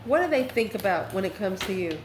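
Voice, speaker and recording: Woman, online tarot reader, off-the-cuff, folksy and sassy, medium pitch, reverberant room. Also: very animated, brisk. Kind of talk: improvised spoken tarot reading. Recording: roomy echo with faint background noise.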